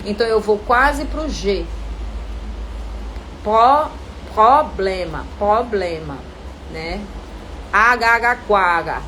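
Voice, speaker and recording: A woman with a Brazilian Portuguese accent coaching actors in an informal live lesson, animated and didactic, often asking questions and answering them herself. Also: mid-range voice, very slow, pauses often, slight background noise, low-quality recording. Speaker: acting coach teaching a live online class.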